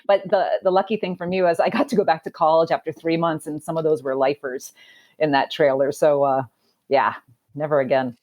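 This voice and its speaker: Lively woman, low-pitched guest. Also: brisk. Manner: animated, laughing storyteller. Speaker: podcast guest. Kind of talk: casual chat.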